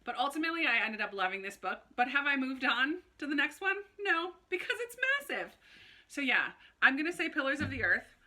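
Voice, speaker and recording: A woman chatting casually to camera like a book vlogger, in an animated, informal way with little self-interrupting asides. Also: high voice, fairly quick. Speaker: book review video host. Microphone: phone camera recording.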